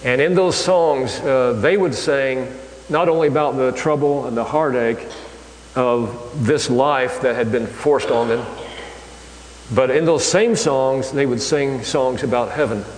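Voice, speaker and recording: An older man giving a sermon in a church, talking in a conversational, halting way with many fillers and restarts. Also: medium pitch. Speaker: preacher. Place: church hall. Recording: echoey hall, faint hum.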